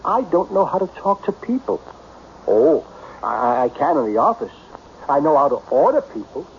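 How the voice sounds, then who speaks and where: Brooding, expressive millionaire in radio drama. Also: male voice, fairly high pitch, moderate pace. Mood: bitter, dejected. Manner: blunt, emphatic, brooding. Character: lonely self-made millionaire businessman, melancholy, brusque, self-pitying. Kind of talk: old-time radio drama acting. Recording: dull, noisy old radio broadcast.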